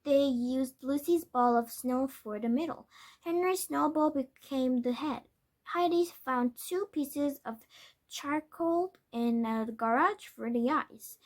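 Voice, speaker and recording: A woman reading a children's storybook aloud as narration, with halting delivery and corrected stumbles. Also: high voice. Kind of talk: scripted children's book narration.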